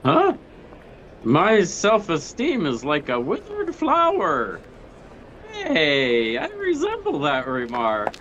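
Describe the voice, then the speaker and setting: Self-pitying drunk, high swooping voice, slow delivery, old-time radio comedy. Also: male voice, huge pitch swings, a bit bright, highs cut off, slight background noise, full character voice. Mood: drunken, maudlin. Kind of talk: 1940s radio comedy acting.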